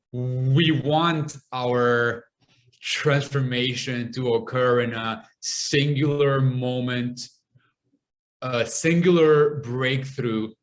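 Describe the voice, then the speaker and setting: Young guy from lebanon w a stutter, super slow, lots of pauses and repeats, podcast guest. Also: mid-range voice. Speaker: guest speaker and comedian. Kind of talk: podcast interview conversation.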